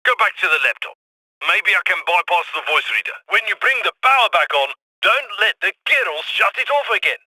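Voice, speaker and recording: An actor playing a brisk, wry male heist planner giving orders over comms in a video game. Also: high-pitched, big pitch swings, sharp tone, breathy edge, dry recording, exaggerated voice, poor audio. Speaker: mission coordinator.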